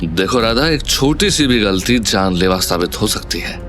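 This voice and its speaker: An actor playing an earnest, cautious young man in a Hindi audio drama, speaking in a measured, polite way. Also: on the low side, animated, over music, close to an everyday voice. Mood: earnest, careful.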